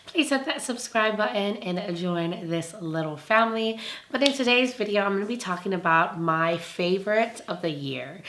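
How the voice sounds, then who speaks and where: A young woman with a hoarse voice from laryngitis, gushing about beauty products in a bubbly, casual review. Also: fairly low pitch, background noise. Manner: bubbly, gushing, laughs often. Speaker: beauty vlogger.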